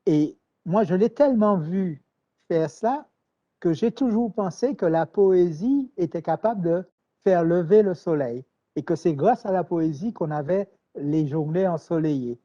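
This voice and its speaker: A man from Martinique, answering interview questions in a measured, thoughtful way with hesitations and repeated words. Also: high-pitched, mellow. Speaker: writer, interview guest. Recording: dull lo-fi sound.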